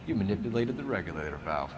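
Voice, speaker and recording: An actor playing a stern, needling 1940s police detective in a video game, with clipped, accusatory questioning. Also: male voice, sits low, big pitch swings, fast, noisy background. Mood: accusing. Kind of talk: dramatic video game acting.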